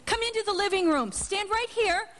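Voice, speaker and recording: A woman acting in a live radio drama as an excitable young wife, exclaiming urgently. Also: very high voice, moderate pace. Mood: breathless joy. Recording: noisy live stage, crowd behind.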